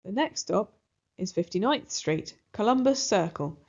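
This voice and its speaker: A woman's voice: medium pitch, animated, a little slow, a little mellow, highs cut off. A woman voicing an artificial, formal train announcement in a children's story. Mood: impersonal.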